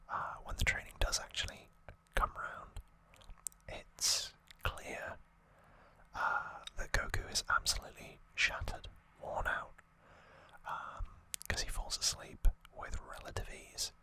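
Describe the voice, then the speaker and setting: Slow, breathy whispering ASMR host. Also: bright, forceful tone. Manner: hesitant, unscripted retelling. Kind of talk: whispered ASMR trivia recap.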